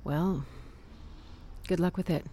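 A woman's voice: fairly low pitch, fairly even intonation, slow pace, mellow, slight background noise. An actress playing a cool, teasing woman who bargains hard, wry and understated, in a naturalistic audio drama. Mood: wry, bargaining.

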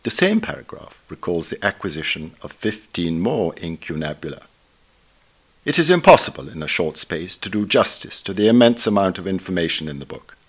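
A man reading a scripted book review aloud in a formal, measured, scholarly manner. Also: low voice, big pitch swings.

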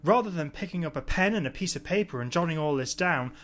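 Sarcastic man ranting, slightly high voice. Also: fast talker. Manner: exasperated, sarcastic, animated complaining. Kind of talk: casual podcast chat reviewing a TV episode.

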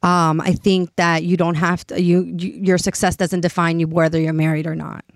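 A woman's voice: fairly low pitch, level tone, moderate pace, no echo, clean studio sound. A woman in her late twenties co-hosting a casual podcast chat, earnest and often stopping to find her words. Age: 20s. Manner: earnest, searching for words, many restarts. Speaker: podcast co-host.

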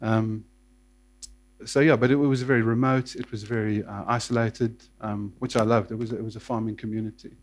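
A man's voice: on the low side, fairly even intonation, a little fast. A man, telling his life story to a church in a casual, hesitant way with many fillers. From South Africa. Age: 30s. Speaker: interview guest.

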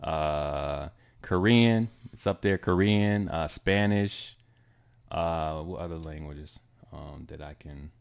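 A man being interviewed in casual chat, often pausing with fillers and restarting his sentences. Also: very deep voice, vocal fry, clear, resonant tone. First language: English. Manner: hesitant, many fillers and restarts. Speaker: guest.

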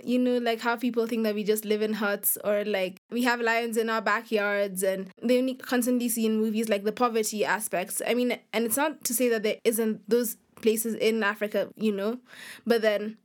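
High-voiced young woman, informal and youthful. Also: fairly even intonation, normal speed. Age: young adult. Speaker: author being interviewed. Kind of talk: casual conversational interview answers.

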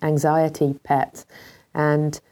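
A woman's voice: sits low, little pitch movement, takes it slowly, dark tone. Froggy British woman hosting a talk show. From Britain. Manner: hesitant, many ums, heartfelt. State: congested, froggy voice. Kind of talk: casual talk show monologue.

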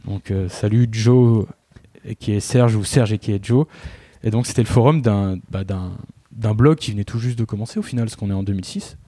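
A man's voice: fairly low pitch, a little fast, mellow, no room sound. A man in his thirties, chatting casually and telling a self-mocking story. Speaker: guest. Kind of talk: casual podcast conversation.

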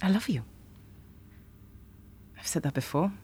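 A woman's voice: sits low, animated, unhurried, warm tone, slight background noise. An actress playing a frank, playful, independent woman in a naturalistic audio drama. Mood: tender, hurt.

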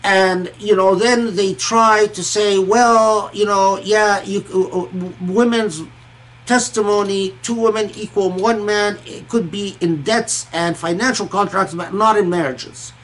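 A male religious scholar lectures informally on scripture in a notably high voice. He speaks slowly and thoughtfully, halting often and repeating words as he works through each point. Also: steady tone, a bit bright, low-quality recording. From Egypt and Kuwait. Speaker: religious scholar giving Quranic commentary.

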